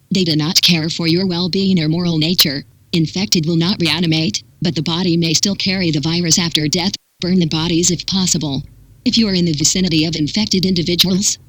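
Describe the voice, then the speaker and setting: A synthetic text-to-speech voice reading a scripted public warning in an impersonal, measured manner with clipped instructions. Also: mid-range voice, a bit bright, no room sound. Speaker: emergency broadcast announcer.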